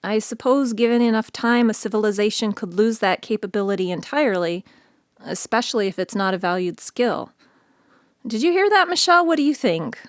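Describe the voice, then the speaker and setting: A woman's voice: on the high side, brisk, no room sound, clean studio sound, lightly acted. A woman playing a sensible, friendly radio show host in a radio play, speaking in a casual, matter-of-fact way. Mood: skeptical, thoughtful.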